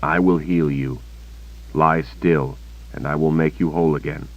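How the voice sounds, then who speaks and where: A resolute young hero speaks in firm, gentle tones, as a male narrator voices him. The voice is very deep and the recording dry and slightly dull. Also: steady tone, a little slow, dry recording. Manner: firm, then gentle and hushed.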